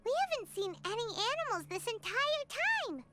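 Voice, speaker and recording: A woman voicing a tiny, childlike female fairy companion in a video game, chatty and inquisitive with a squeaky voice. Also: big pitch swings, normal speed, sharp tone, heavily acted. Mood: curious, uneasy. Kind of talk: scripted video game dialogue.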